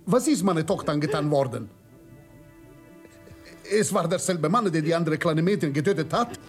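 An actor playing a grief-stricken Jamaican immigrant father in a radio drama, husky, with pleading, accusing questions. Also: on the high side, lively intonation, normal speed, close to an everyday voice. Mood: bitter grief. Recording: studio radio play with music behind.

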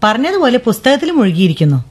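A woman's voice: on the high side, sing-song, quick pace, soft background music. An actress playing a playful young woman teasing as a college friend in a radio drama.

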